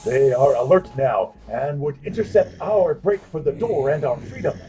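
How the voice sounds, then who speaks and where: An actor playing a wry, scheming drunken adventurer in a comic fantasy audio drama, speaking in flowery archaic phrases. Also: male voice, a little high, expressive, normal speed, dark tone, slight background noise. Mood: wary, wry. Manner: pompous, flowery archaic diction.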